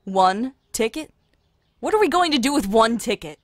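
Animated actress as fussy, protective relative. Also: female voice, on the high side, normal speed, no echo. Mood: fussing. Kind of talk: audio drama acting.

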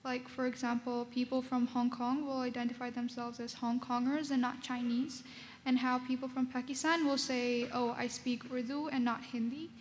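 A young woman with a high, evenly pitched voice asks a student's question at a floor microphone. The hall is large and reverberant, with faint noise around her. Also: little pitch movement, moderate pace. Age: teens to young adult. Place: large conference ballroom. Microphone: standing floor microphone. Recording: long hall reverb, some room noise.

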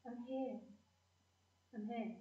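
A woman's voice: a little high, steady tone, slow pace. A woman playing an earnest, loyal, bookish teenage girl in an amateur audio play. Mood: comforting. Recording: dull, echoey, distant microphone.

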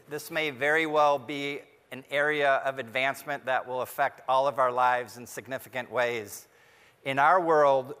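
A man presents a prepared keynote in a mid-pitched, bright and crisp-edged voice. His phrasing is measured and deliberate, with pauses between points. The sound has the long reverb of a large conference ballroom. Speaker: technology executive giving a keynote. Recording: hall reverb from a large venue.

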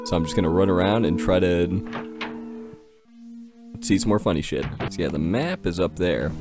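Male gamer, low-pitched and lively, casual sweary commentary with music behind. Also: normal speed. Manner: crude, swearing, exclamatory. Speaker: gaming video host. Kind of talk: casual let's play commentary.

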